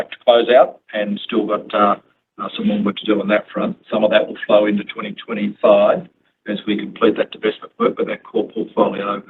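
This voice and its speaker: A man speaking as a company executive answering questions on an earnings call, in a halting way with repeated words. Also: on the low side. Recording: phone-like conference line, clear noise.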